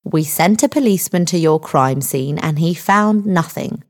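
A woman voicing a male police sergeant in a story, friendly but condescending and dismissive, with measured delivery. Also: sits low. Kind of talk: audiobook character dialogue.

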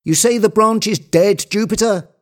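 A man voicing an excited, bossy, obsessive character who gives urgent orders in an agitated storybook tale. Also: high-pitched, moderate pace, voice pushed up, close to an everyday voice. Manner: imperious, agitated, exclaiming.

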